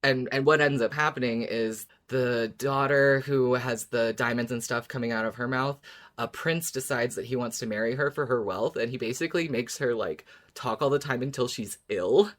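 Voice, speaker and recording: A woman's voice: a bass voice, moderate pace. A woman co-hosting a podcast, retelling stories casually in a conversational, giggly way. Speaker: podcast co-host.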